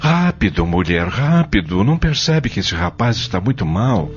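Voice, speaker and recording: A man playing a middle-aged, caring and commanding father in an audio soap, speaking earnestly and haltingly. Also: fairly low pitch, big pitch swings, music in the background. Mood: urgent, alarmed. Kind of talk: audio soap opera acting. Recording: muffled, dull audio drama recording.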